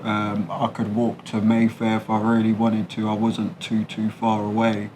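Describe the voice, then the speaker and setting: A man's voice: fairly low pitch, monotone, moderate pace, a little mellow, slight background noise. A man with a London accent talking candidly in an interview, colloquial and explanatory. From London, England. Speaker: interviewee, British expat. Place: a condo apartment.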